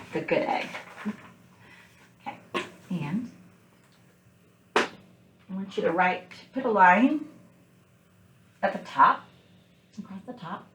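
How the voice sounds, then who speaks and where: A woman's voice: medium pitch, roomy sound, a little hiss or hum. A woman speaking as a kindergarten teacher, asking questions and explaining simply and patiently, step by step, to young children.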